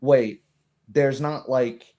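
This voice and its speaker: Guy just chatting about running tabletop games, game master type, animated, does little imagined reactions as he goes. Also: medium pitch, extremely slow.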